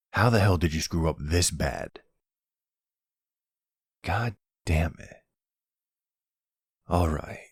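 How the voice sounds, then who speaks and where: A man's voice: no echo, studio quality, exaggerated voice. A man playing a weary, exasperated but courteous mafia boss in an ASMR audio roleplay, with wry, colloquial delivery. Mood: reassuring, wry. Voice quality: deep, smooth, gravelly creak. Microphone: close, dry microphone.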